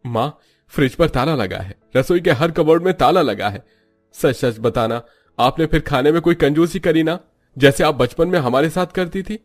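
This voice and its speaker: A man's voice: fairly high pitch, lively intonation, normal speed, soft background music, pitched above the performer's own voice. A man voicing a worried, angry young husband in a cartoon story, reproaching his mother in an earnest way. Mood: accusing. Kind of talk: Hindi cartoon story character voice.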